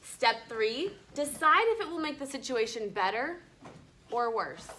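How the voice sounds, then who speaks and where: A woman's voice: fairly high pitch, big pitch swings, measured pace, pushed and bright, a little hiss or hum. A woman teacher reading a storybook aloud expressively and leading children through it, firm and instructive. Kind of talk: storybook read-aloud with class discussion. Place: classroom with children present.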